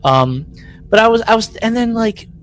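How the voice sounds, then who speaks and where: A man co-hosting a podcast in casual chat, rambling and halting, often repeating words as he works out a point. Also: high voice, animated. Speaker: podcast co-host.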